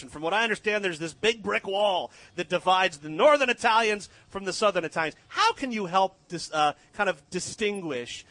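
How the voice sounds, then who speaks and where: A man hosting a conversational food interview on location, chatty, effusive and quick to joke. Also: high-pitched, bright, forceful tone, dry recording. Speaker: host.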